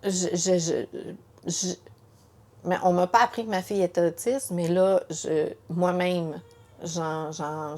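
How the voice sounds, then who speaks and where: Middle-aged woman, Quebec French accent, emotional personal testimony, halting delivery with repeated words and fillers. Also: fairly low pitch, mellow. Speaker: mother telling her story.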